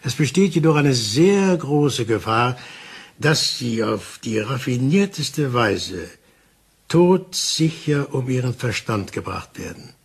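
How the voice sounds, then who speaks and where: Husky, deliberate older detective. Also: male voice, medium pitch, big pitch swings, heavily acted. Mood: grave, insistent. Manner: deliberate, dramatic pauses, explanatory. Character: methodical older male detective, calm and insistent. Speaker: actor in a crime radio play. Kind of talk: German crime radio play acting.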